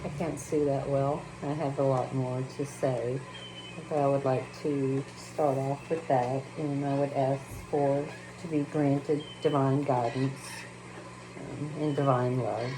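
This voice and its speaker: Very low, flat-voiced Texan woman. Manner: halting, many fillers, slow and unhurried. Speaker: spiritual channel host. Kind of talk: casual spiritual talk and spoken prayer. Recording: noticeable background noise.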